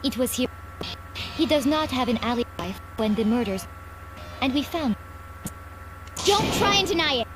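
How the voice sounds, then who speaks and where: A woman playing an earnest, accusing young student in a video game, insistent and emphatic over loud music. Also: sits high, sing-song, moderate pace, pauses often, noisy background.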